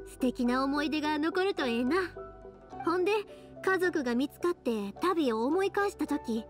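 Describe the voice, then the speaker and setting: High, lively young Kansai woman. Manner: friendly, chatty, folksy. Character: warm, cheerful, caring young woman, fireworks maker. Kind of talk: anime game character dialogue.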